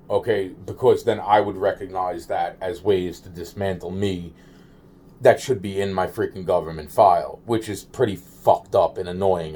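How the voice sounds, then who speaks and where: Male solo video maker, relaxed dark tone, lowish pitch, halting and circling, casual and sweary delivery. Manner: halting, repetitive, trailing off and circling back; slangy and profane. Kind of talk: rambling, informal monologue to camera.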